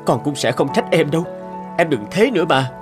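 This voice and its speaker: An actor playing a gentle, worried young husband in a cartoon drama, speaking in an earnest, caring, pleading manner. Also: male voice, on the high side, expressive, a little fast, close to an everyday voice. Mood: gentle, consoling. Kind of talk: dubbed cartoon drama acting. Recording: studio voice under loud music.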